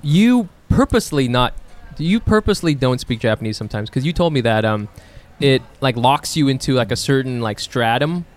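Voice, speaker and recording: A man co-hosting a casual podcast, talking haltingly and repeating words as he thinks. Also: medium pitch, animated. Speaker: podcast co-host.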